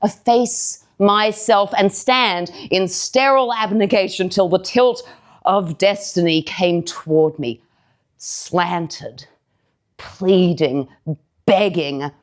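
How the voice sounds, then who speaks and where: A woman playing a brooding, strong-willed young male villain in a deliberate, declamatory verse monologue on stage. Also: medium pitch, natural voice. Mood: bitter, brooding. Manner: declamatory, deliberate, weighty pauses. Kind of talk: theatrical Shakespearean verse monologue.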